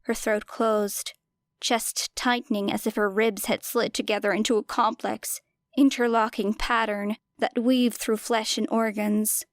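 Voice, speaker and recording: A woman's voice: fairly high pitch, level tone, unhurried, no echo. A woman reading scripted fiction as an audiobook narrator, with dramatic delivery.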